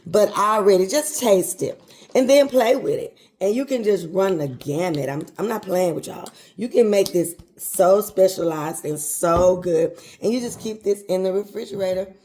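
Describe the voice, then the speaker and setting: A woman's voice: mid-range voice, moderate pace, a little mellow. A woman, chatting folksily through a home cooking tutorial and calling her viewers baby and honey. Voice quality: hoarse voice. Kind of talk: casual cooking tutorial chat.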